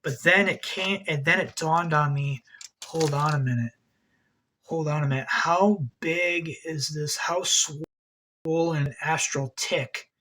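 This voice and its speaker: A man's voice: a little high, slow pace, pushed and bright, a little hiss or hum. A man hosting an occult video, talking in a rambling, agitated monologue, swearing a lot and repeating himself.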